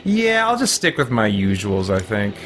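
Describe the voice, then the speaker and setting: A man's voice: lowish voice, very animated, moderate pace. A middle-aged man giving casual let's play commentary, musing and thinking aloud as he goes. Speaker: gaming video host. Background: music.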